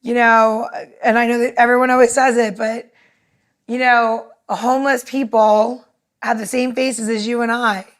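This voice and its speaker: A woman with a fairly high voice co-hosts a casual podcast. She talks earnestly and tends to ramble as she goes, in an informal conversational style. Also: flat delivery, normal speed. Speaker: podcast co-host.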